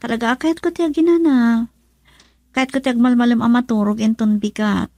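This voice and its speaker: A worn-out young student in a radio drama, Filipino accent. Her voice is on the high side and plaintive, recorded close and dry. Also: steady tone, a little fast, mellow, no echo. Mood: weary, plaintive. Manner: plaintive, tired. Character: weary female student talking with her mother.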